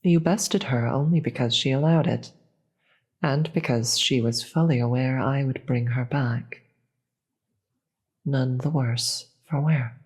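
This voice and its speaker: A performer voicing a proud, imperious demon queen in a fantasy audio roleplay, deliberate and theatrical, with a breathy voice and contempt for the gods. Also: mid-range voice, steady tone. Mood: imperious, menacing. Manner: deliberate, theatrical, grandiose speech with dramatic pauses.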